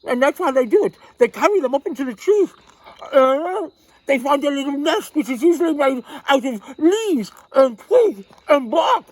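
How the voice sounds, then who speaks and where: A fussy, theatrical lady storytime host, played by a man, chatting to children in a high, fluttery voice. The delivery is excitable and full of exclamations, swooping widely up and down. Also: moderate pace, rough recording. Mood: eager, excitable. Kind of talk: comic children's storytime presenting.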